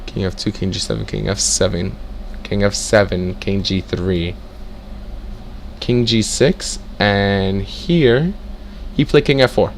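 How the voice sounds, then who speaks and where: A young man teaching chess in a casual way, reading out moves and breaking into excited exclamations as he works through the position. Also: fairly low pitch, slow, vocal fry, a little hiss or hum. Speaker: chess tutor. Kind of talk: casual screen-share lesson, thinking aloud.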